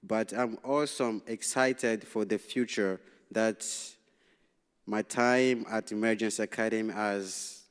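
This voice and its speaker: A young man from Tanzania whose first language is Swahili, reading a prepared speech as a student representative at a public meeting, halting and nervous, with frequent restarts. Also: fairly low pitch, fairly even intonation, smooth. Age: young adult. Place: large city hall meeting room. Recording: long reverb in a council chamber.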